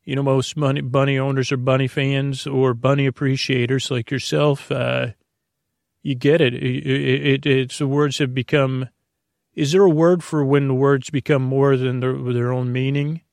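A man voicing a polite, patient imaginary bunny sidekick on a bedtime podcast, explaining gently and hesitantly in a creaky voice. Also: medium pitch, little pitch movement, moderate pace, no room sound, clean studio sound, close to an everyday voice.

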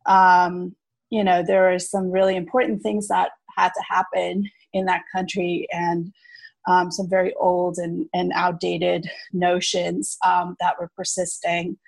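Woman from south africa chatting away, lots of ums, kinda gravelly voice, dry close sound. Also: lowish voice, completely flat delivery, unhurried, dry recording. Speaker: farmer and innkeeper. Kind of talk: casual interview storytelling.

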